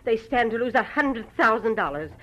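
An actress playing a wealthy, refined society widow in an old-time radio drama, formal and evasive. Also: female voice, on the high side, animated, normal speed, fairly bright tone. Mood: evasive, dismissive. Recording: muffled, faintly noisy vintage radio recording.

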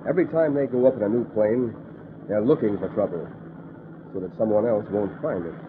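An actor playing a calm, authoritative army colonel who explains things in a measured way, in a 1940s radio drama. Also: male voice, lowish voice, natural voice. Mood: assured. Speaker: air force colonel. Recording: noisy lo-fi old radio broadcast.

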